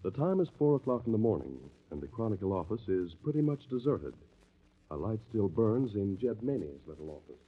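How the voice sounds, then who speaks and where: A man's voice: fairly low pitch, big pitch swings, a little fast, breathy edge. A man narrating as the announcer of an old-time radio drama, in scripted, dramatic style. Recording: thin, tinny vintage radio recording.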